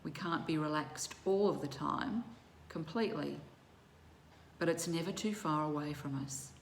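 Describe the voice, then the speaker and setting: A woman leading a guided relaxation as a yoga teacher, speaking in measured, soothing short phrases with long pauses. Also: sits low. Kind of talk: guided meditation instruction. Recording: roomy echo with faint background noise.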